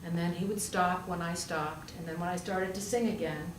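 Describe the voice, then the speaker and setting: A woman's voice: on the low side, steady tone, moderate pace. Woman preaching in a roomy space. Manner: conversational, with rhetorical questions and emphatic repetition. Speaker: pastor preaching, also a hospital chaplain. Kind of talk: storytelling sermon. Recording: roomy, distant pickup with faint noise.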